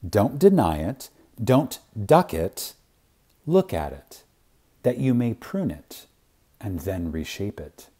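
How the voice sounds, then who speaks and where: A man reading an inspirational spiritual lecture aloud in a reverent, dramatic way, with long pauses and strong emphasis. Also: on the low side, sing-song, warm tone.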